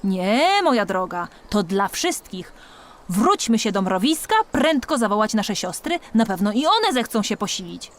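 A woman's voice: medium pitch, very animated, measured pace. A woman voicing an old female worker ant in a children's story, a stern but kindly mentor who lectures in a motherly way. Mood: instructive.

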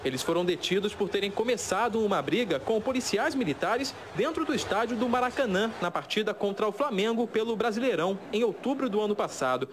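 A young man reading a scripted news report in a measured, formal manner. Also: high-pitched, lively intonation, slight background noise.

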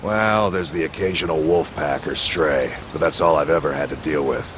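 A man's voice: very deep voice, normal speed, noisy background. A male actor voicing a kindly, weary older courier in a game, plainly spoken. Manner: folksy, plain, good-natured.

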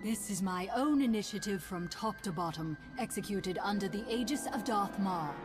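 A woman's voice: medium pitch, animated, moderate pace, lightly acted. A woman playing a bold, ruthless female admiral in a video game, commanding and formal, with a sardonic edge. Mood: rousing command. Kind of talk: video game cutscene acting. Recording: orchestral game music behind.

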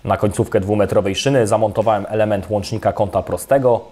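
Young guy narrating a how-to install, low steady voice, soft guitar underneath. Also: fast talker. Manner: calm, even, step-by-step instructional delivery. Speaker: electrician presenting a how-to video. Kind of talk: scripted voice-over narration. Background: soft guitar music.

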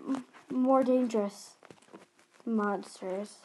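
A woman's voice: on the high side, slow pace, dark tone, a little hiss or hum, low-quality recording. A woman co-player chatting in improvised roleplay during a survival video game. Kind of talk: improvised roleplay chat while playing.

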